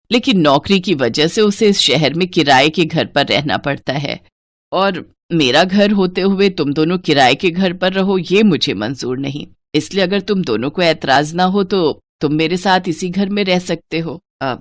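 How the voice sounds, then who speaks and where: A woman's voice: low-pitched, no echo, pitched below the performer's own voice. A woman voicing a scheming, lustful middle-aged stepmother in a story, coy and insinuating. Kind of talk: Hindi moral-story cartoon voice acting.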